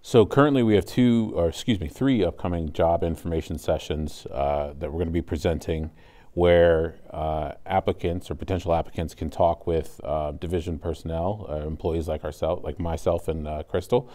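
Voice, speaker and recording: American man, deep relaxed voice, interview guest giving explanations. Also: normal speed. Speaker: 911 communications supervisor, interview guest. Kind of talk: explanatory studio interview answers. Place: indoor TV studio at a desk.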